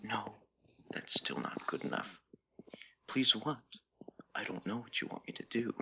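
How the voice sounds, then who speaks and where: A man's voice: fairly low pitch, a little slow, sharp tone, slight background noise, pitched above the performer's own voice, exaggerated voice, low-quality recording. A man voicing a teasing, dominant young male lover in a fanfiction reading, taunting and coaxing.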